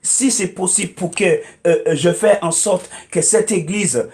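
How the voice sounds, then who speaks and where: A high-pitched man whose first language is English preaches as a guest on a talk show. He talks emphatically, repeats his phrases and fills gaps with hesitant "euh"s. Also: unhurried, a little mellow.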